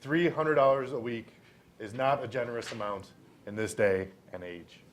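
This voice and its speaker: A young man reads a political speech aloud on stage. His voice is medium in pitch, and faint noise runs through the live recording. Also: normal speed. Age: young adult. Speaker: student performer reading a senator's words. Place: college library event room.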